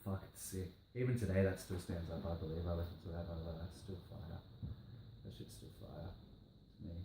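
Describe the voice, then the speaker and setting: A man's voice: deep voice, little pitch movement, moderate pace. An Australian man, a rapper, talking in a casual interview and rambling, restarting his sentences and repeating himself often. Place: indoor room, on a couch. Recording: roomy echo, faint background noise.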